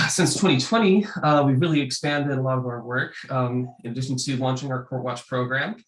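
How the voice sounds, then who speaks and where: A man's voice: medium pitch, moderate pace. A man with a cold, presenting slides for an advocacy group, sniffly and hesitant with many ums. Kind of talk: panel presentation over slides. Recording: echoey room sound.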